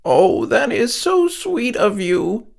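A man voicing a sweet, flustered old lady in an audiobook, speaking in a slow, drawn-out way. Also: high voice, big pitch swings, vocal fry, voice pushed up, exaggerated voice. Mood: grateful, beaming. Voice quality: whistling through thin lips.

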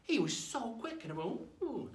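A man's voice: extremely high pitch, big pitch swings, normal speed. A man playing a jolly, boastful old pirate storyteller, rambling along in a folksy, theatrical way as he hosts a playful lesson. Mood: animated, boastful. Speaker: host of pirate-themed lessons. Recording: roomy echo.

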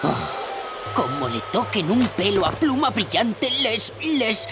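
A woman's voice: medium pitch, big pitch swings, slow. A woman voicing an eager, boastful young male warrior with a Castilian Spanish accent in a children's cartoon dub. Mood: worried. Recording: loud music behind the voice.

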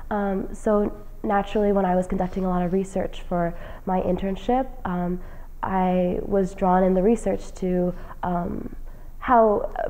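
A young woman from New Jersey talks about her plans in a relaxed, mellow voice, seated in an indoor lounge. She speaks earnestly and keeps pausing on "um" fillers. The sound is close and clean from a lapel mic. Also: mid-range voice, rough recording. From New Jersey, United States. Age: young adult. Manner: casual, frequent "um" fillers, earnest. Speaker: graduating senior, fellowship recipient. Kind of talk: interview answers. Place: indoor lounge with plants and leather chair.

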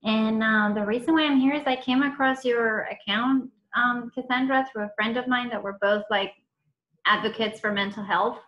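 A woman's voice: on the high side, fairly even intonation, moderate pace, lo-fi sound. A native Spanish-speaking woman from Venezuela with a Venezuelan accent, casually introducing herself as a participant in an online workshop. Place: online video call.

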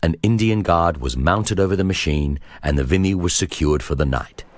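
A man reads a historical journal as scripted narration, in a measured, formal storytelling manner. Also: deep voice, no echo, studio quality. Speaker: narrator reading a memoir.

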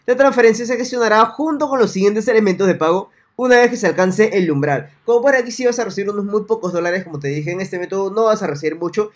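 A young man in a small room, quickly pitching a way to earn money online in a repetitive, salesy tutorial straight to the viewer. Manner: fast, salesy, repetitive, addresses viewer directly. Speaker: online money-making vlogger. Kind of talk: screen-recorded tutorial with webcam.

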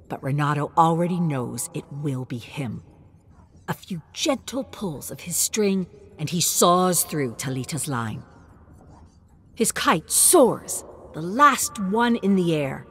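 Expressive story narrator with lively pitch. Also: slight background noise. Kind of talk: scripted story narration, audiobook-like.

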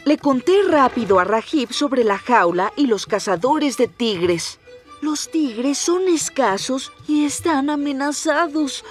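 A high, boyish engine, kind and sincere, with a Latin American Spanish accent. The delivery is unhurried and eager, with faint music behind. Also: animated. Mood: earnest. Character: kind, earnest young male steam engine. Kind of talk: animated children's show dialogue.

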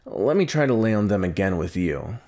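A man playing a brash, gruff young anime hero who hides his fears, in a halting, defensive, intimate ASMR roleplay. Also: sits low, very animated, a little mellow. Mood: indignant. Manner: halting, defensive, huffy, with sighs.

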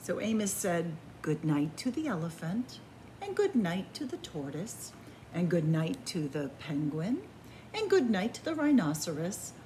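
A woman reads a children's picture book aloud at a leisurely pace. Her pitch is mid-range and moves up and down expressively, with deliberate pauses between phrases. Some room noise sits behind her. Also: very animated, warm tone, rough recording. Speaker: librarian reading picture books aloud to children. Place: indoors in a library room with bookshelves.

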